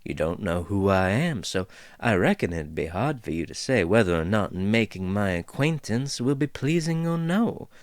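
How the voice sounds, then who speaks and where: A man's voice: on the low side, very animated, normal speed, hardly any pauses, no room sound. A man voicing a chatty female dwarf who rambles in a folksy drawl, in a storybook reading. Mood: drawling, amused. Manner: meandering, drawling, folksy turns of phrase.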